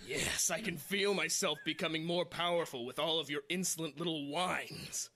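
A man's voice: on the high side, steady tone, moderate pace, sharp tone, a little hiss or hum. A man voicing a pompous, lecherous villain in a haughty, theatrical way for a comedic fan dub. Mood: gloating, taunting.

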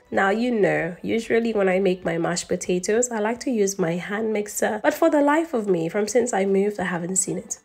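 A woman talks viewers through her home cooking in a relaxed voice-over, speaking to them directly and casually. Her mid-pitched voice has a noticeable gravelly fry. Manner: chatty, addresses viewers directly. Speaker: cooking channel host.

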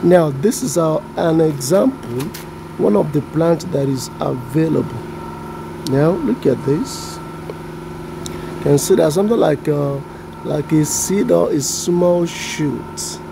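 A man with a Nigerian accent, a native Yoruba speaker, casually showing backyard weeds outdoors, unhurried and sounding out plant names with a self-deprecating tone. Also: on the high side, vocal fry. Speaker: vlogger. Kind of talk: casual walk-through narration. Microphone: handheld phone camera, off-screen. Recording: generator noise behind phone audio. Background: generator running.

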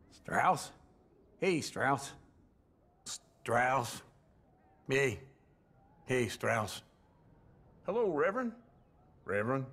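Drawling old American outlaw, lively pitch. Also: male voice, exaggerated voice. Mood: weary, subdued, then genial, folksy. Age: older. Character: grumbling old male outlaw camp loafer in the American West. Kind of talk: video game ambient greetings, western.

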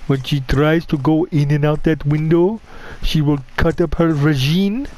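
A man's voice: on the high side, measured pace, darkish tone, natural voice. A man voicing a bumbling, foul-mouthed killer devoted to his mother, talking in stilted broken English while commenting on his gameplay. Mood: grumbling, narrating. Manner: broken English, dropped articles, stilted phrasing. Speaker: gamer playing a horror game in character.

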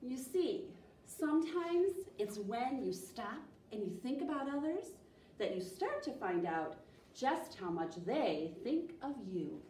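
An American woman in her thirties leading a children's storytime, speaking in an animated, encouraging way to young listeners. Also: a little high, slow. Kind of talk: children's storytime reading and singalong. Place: small library program room, indoors. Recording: echoey room, distant mic, faint noise.

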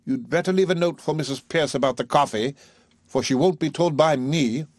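A man's voice: on the high side, moderate pace, dry recording, clean studio sound, voice pushed up, close to an everyday voice. A man doing a defiant young flower girl. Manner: defiant, taunting.

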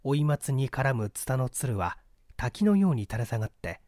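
A man narrates literary mystery fiction like a stage actor. His voice is medium in pitch with lively, wide-moving intonation. The recording is dry, free of room sound. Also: moderate pace, dry recording. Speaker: audiobook reader and stage actor. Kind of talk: dramatic scripted literary narration.

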